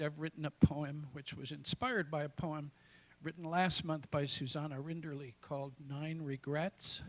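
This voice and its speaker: Higher-pitched older American man reciting poetry. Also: fairly bright tone, poor audio. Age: 60s. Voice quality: tenor voice. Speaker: amateur poet. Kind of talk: reciting own poetry to a live audience.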